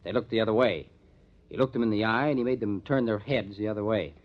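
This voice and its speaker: An actor playing a hard-nosed, determined police detective in an old-time radio crime drama, speaking in a measured, emphatic way. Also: male voice, on the low side, lightly acted. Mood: grimly determined. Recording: dull lo-fi old radio recording.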